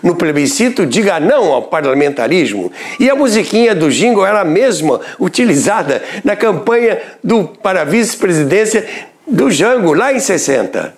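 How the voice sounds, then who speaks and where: A man giving scripted documentary narration about radio history, with an expressive storytelling delivery. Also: high voice, moderate pace.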